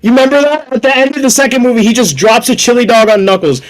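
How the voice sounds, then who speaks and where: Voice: medium pitch, fast talker, low-quality recording. A young adult talking casually on a podcast, informal and prone to swearing. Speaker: podcast speaker.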